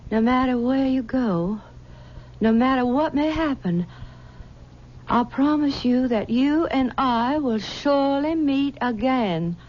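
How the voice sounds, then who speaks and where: Earnest young lover, high voice, old dull radio sound. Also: female voice, slow pace. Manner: earnest, solemn promising. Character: devoted young woman, earnest. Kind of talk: 1970s radio drama acting.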